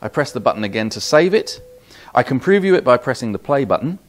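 A man's voice: mid-range voice, very animated, fast talker. A man in his forties with a British accent giving a methodical, step-by-step product demonstration. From United Kingdom. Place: small office demo room, indoors.

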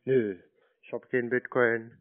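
A man with a deadpan, repetitive manner, chatting as if slow to understand while answering calls. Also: mid-range voice. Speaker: scam baiter answering calls. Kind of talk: deadpan phone prank chat. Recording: narrow-band phone-like sound.

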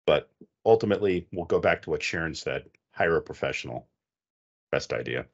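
A middle-aged man with a very deep voice explains things methodically in a conversational panel style. He sounds like an expert talking through a headset on a video call, with slightly muffled, lo-fi audio. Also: expressive, fairly quick, vocal fry. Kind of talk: conversational technical advice.

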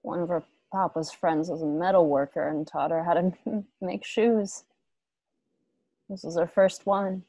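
A woman playing a guarded, grieving widow in a western radio play, speaking in halting, understated phrases. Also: lowish voice, steady tone, mellow, dry recording, poor audio. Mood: wistful, tender. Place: home, on a group video call.

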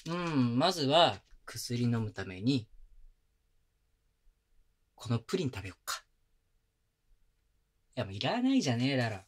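A man playing a gruff but doting boyfriend. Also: mid-range voice, a little hiss or hum, natural voice. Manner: casual rough slang softened by gentle coaxing; soft close whispers at times. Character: young male boyfriend, rough-spoken but doting caretaker. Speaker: voice actor. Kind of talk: Japanese girlfriend-experience roleplay audio drama. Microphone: binaural mic.

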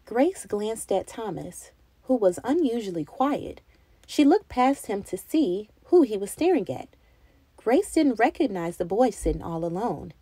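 A woman reading a children's picture book aloud in an expressive storytelling style. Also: mid-range voice, a little slow, husky, no echo, low-quality recording.

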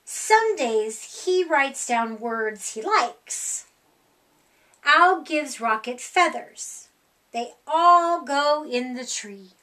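Storytime reader: middle-aged woman, high voice, unhurried and expressive picture-book narration. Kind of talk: read-aloud children's picture book narration. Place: small room indoors.

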